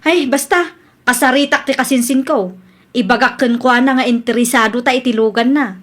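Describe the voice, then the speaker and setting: A woman's voice: a little high, moderate pace, a bit bright. A woman playing a boastful, stubborn, cheerfully bossy wife in an Ilocano comedy radio drama, with an Ilocano Filipino accent. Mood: coaxing, breezy.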